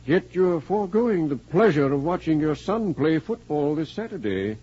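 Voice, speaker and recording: A courteous older American dean, speaking formally and a little fussily. It is old-time radio acting with a bookish, measured air. The recording is dull and band-limited. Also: male voice, fairly high pitch, big pitch swings, dark tone, husky. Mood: polite, mildly puzzled. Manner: formal, measured, slightly fussy. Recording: muffled vintage 1940s radio broadcast.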